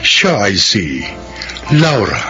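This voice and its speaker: A man's voice: on the low side, wildly swooping pitch, extremely slow. A man announcing credits and introducing a story as scripted, dramatic radio drama narration. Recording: dull lo-fi radio with faint music.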